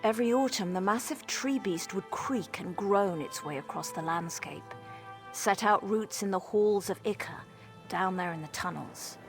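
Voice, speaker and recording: An actress playing an exasperated, wry mage scholar in a video game, explaining things articulately. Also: female voice, medium pitch, normal speed, over music, close to an everyday voice. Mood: informative, wistful. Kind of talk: conversational video game dialogue.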